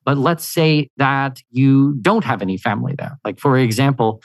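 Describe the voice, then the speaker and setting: A man talks as a podcast guest, keen and lively, with casual fillers scattered through. His voice sits at an ordinary middle pitch and sounds dry and close, with no room around it. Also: normal speed, no echo. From Canada. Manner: energetic and upbeat, many "you know" fillers. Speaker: guest, expat consultant. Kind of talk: conversational interview answers.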